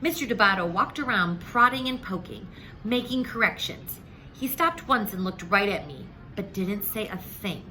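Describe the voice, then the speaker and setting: A woman with a bright, forward voice reads a picture book aloud to children. Her delivery is animated and expressive, acting out the dialogue. There is clear background noise on the recording. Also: mid-range voice, normal speed, rough recording.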